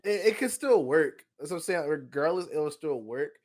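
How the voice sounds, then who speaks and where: A man with a fairly high voice chats casually on a podcast. He talks in slang and pushes his opinions, often doubling back over his words to make the point. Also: animated, normal speed, a little mellow. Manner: slangy, opinionated, repeats himself. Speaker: podcast co-host. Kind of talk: casual chat, film discussion.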